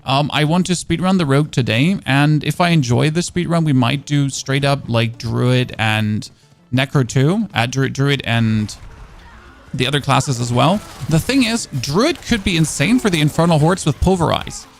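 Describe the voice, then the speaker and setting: Gravelly man chatting on stream. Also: medium pitch, normal speed, soft background music. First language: German. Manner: rambling, answers chat questions, frequent restarts. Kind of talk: casual gameplay streaming chat. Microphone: studio dynamic microphone.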